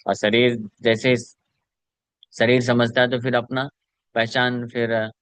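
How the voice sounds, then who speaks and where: A man's voice: medium pitch, little pitch movement, takes it slowly, fairly bright tone, clear, resonant tone, no room sound. A man, a spiritual teacher, giving a devotional scripture lecture in an explanatory way, mixing in English terms and reciting Sanskrit verses.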